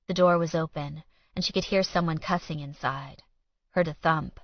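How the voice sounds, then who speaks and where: A woman's voice: sits low, fairly even intonation, moderate pace, dry recording, highs cut off. A woman audiobook narrator reading fiction in a scripted storytelling style.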